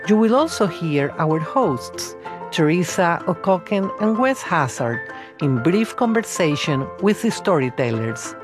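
Female program host for scripted intro, lowish animated voice, music behind. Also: measured pace.

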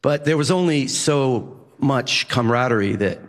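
A man's voice: medium pitch, normal speed, in a large hall. Middle-aged man telling a story. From Massachusetts, USA. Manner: vivid, animated when recounting action. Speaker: journalist and news editor. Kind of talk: personal storytelling.

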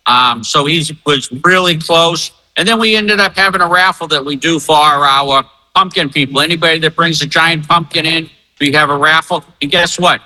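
A man's voice: on the high side, moderate pace, hardly any pauses, sharp tone, low-quality recording. A man speaking as an event organizer in an interview, upbeat and emphatic, enthusiastically promoting it. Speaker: fair organizer and spokesman.

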